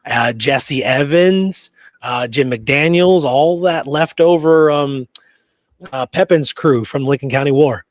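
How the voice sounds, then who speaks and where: A man with a medium-pitched voice chats about history as a co-host. His delivery is informal and a bit roundabout. The recording is very dry, without room sound. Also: takes it slowly, dry recording. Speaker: podcast co-host. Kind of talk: casual history discussion.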